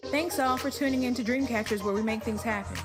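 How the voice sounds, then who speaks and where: Woman, podcast intro announcer, scripted, polished, even, loud electronic music backing. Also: fairly high pitch, quick pace.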